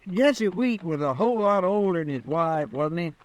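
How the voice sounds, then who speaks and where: A man's voice: sits high, animated, normal speed, dull audio. A man with a rural Western American drawl playing a simple, folksy, deferential lawman's sidekick in a radio western. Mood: curious, eager. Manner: folksy, hesitant, plain-spoken. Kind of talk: old-time radio western acting.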